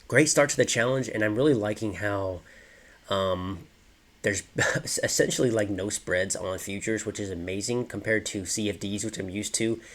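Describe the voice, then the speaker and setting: Young male vlogger, nasal and congested, laid-back and filler-heavy, a bit low-pitched. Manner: relaxed, many ums and you-knows. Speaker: trading vlogger. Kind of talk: casual screen-share walkthrough.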